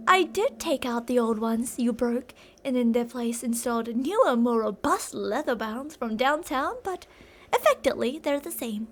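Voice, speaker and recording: High-voiced obsessive yandere woman, acted by a woman. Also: slight background noise. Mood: smug, doting. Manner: intimate, cooing endearments, drops to whispers. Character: obsessive, possessive yandere woman, doting yet menacing captor. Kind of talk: ASMR roleplay, scripted monologue.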